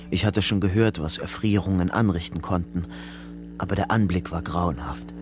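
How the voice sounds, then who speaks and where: A man's voice: low-pitched, moderate pace, over music, natural voice. An actor playing a guarded, tense young man on the run in a German radio crime drama, understated in delivery. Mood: sombre narration. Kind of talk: German radio crime drama, acting and first-person narration.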